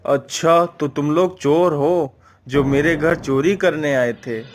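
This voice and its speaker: A man's voice: a little high, slow. A man voicing a stern, authoritative figure of wealth and rank in a Hindi moral-story cartoon, with a commanding manner. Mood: indignant.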